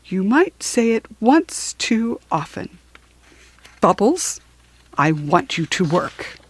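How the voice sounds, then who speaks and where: A woman voicing a brisk, scolding desert djinn in a children's storybook, with emphatic, admonishing phrasing. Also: mid-range voice, very animated, slightly rough, no echo, heavily acted. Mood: stern, coaxing. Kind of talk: children's storybook character reading.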